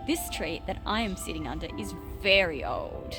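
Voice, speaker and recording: A woman hosting a children's read-aloud, explaining things simply to young listeners with expressive emphasis on key words. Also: on the low side, moderate pace. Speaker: host of children's story read-aloud show. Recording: loud music behind the voice. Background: music.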